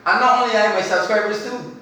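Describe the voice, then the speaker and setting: A man's voice: sits high, fast talker, bright, forceful tone, a little hiss or hum. A man hosting a talk show in a conversational style, emphatic and given to repeating his phrases. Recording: very echoey room, far from mic.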